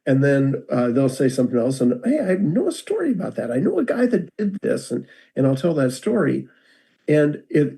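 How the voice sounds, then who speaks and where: An older American man tells stories in a relaxed, meandering way, often stopping and restarting his sentences. His voice is mellow and dark, and he is heard over a video call. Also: mid-range voice, gravelly. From United States. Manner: relaxed, rambling, self-deprecating with many restarts. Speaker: guest speaker.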